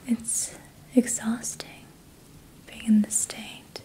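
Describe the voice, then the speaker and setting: A young woman whispering gently and reassuringly in a guided ASMR relaxation, leaving long pauses. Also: on the high side, flat delivery, low-quality recording. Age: young adult. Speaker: ASMR host doing a healing roleplay. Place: small indoor room at night.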